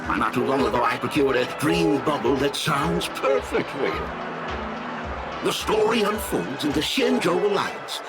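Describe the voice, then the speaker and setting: A slick video game shopkeeper, eager and theatrical, selling with showy patter. His higher-set voice swoops up and down, over loud music. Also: big pitch swings, normal speed. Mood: showy, persuasive. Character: theatrical, slick male dream salesman, eager and wheedling. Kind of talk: video game character acting.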